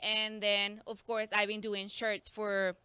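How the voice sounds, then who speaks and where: A woman in her twenties with a Mexican accent talks casually as a podcast guest, laughing easily and quoting herself. Her voice is bright and smooth. The sound is dry and close. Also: mid-range voice, completely flat delivery, slow, no room sound. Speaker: guest, teacher.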